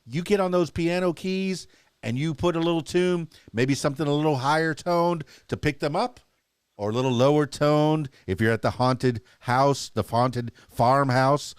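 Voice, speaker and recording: A man with a Cuban Spanish accent hosts a relaxed podcast chat, his voice set a little higher than most men's. He talks in an effusive, playful way. The sound is close and dry, with no room around it. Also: normal speed, smooth, no room sound. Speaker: podcast host. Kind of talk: casual interview chat.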